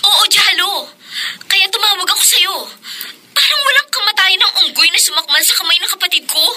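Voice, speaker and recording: Piercingly high, anxious young lady. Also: animated, fairly quick, sharp tone, exaggerated voice. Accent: Filipino. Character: anxious, loyal young woman. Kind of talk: horror radio drama acting.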